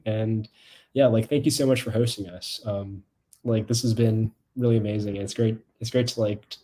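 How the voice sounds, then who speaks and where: Young man, soft mellow tone, casual panel chat, halting with repeated words, video call. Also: fairly low pitch. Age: young adult. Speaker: documentary film subject on a Q&A panel. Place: small room at home, video call.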